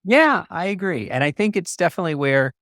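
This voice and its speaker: A man's voice: sits high, big pitch swings, fairly quick, a bit bright, no echo. Effusive male podcast host, dry close sound. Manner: effusive, encouraging. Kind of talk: upbeat interview chat.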